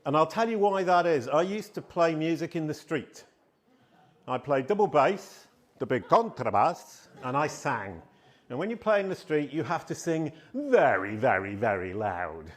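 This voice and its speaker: Loud, animated man giving a talk. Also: fairly high pitch, moderate pace. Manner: loud, animated, self-deprecating storytelling. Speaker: conference speaker. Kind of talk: live conference talk. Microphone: handheld microphone.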